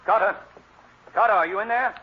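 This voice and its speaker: An actor playing a decisive, analytical gentleman sleuth in an old radio mystery, urbane and assured. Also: high voice, a little fast, lightly acted. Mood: urgent. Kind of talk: 1940s radio mystery acting. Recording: dull, noisy old radio recording.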